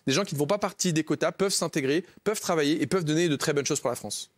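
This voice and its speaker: Man, earnest political argument, fast talking, close dry sound. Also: on the high side, no room sound. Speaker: panelist on a debate show.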